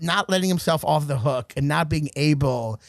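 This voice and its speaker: A young man co-hosting a casual movie podcast, talking in an excited, rambling way and laughing often. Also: fairly high pitch, moderate pace, no room sound, studio quality. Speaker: podcast co-host.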